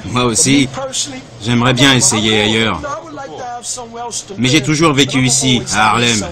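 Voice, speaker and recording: A man's voice: medium pitch, measured pace, a crowd in the background. A man reading a casual, slangy voice-over translation of a young interviewee.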